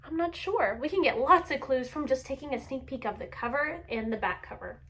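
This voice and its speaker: A woman reading a picture book aloud to young children in an expressive, teacherly way, speaking to them directly. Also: high voice, a little fast. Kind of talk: children's storybook read-aloud.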